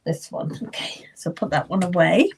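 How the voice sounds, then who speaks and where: A middle-aged woman hosting a live psychic reading session, chatting gently with viewers by name, her voice still a little croaky and at times falling to a whisper. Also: fairly low pitch, very animated, normal speed, background noise. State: slightly croaky voice. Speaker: psychic medium.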